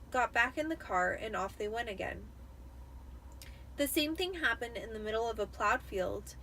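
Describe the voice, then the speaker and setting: Tipsy, high-voiced woman narrating a story. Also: rough recording. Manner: expressive storytelling with occasional stumbles. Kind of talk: dramatic audiobook-style narration. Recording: noticeable background noise.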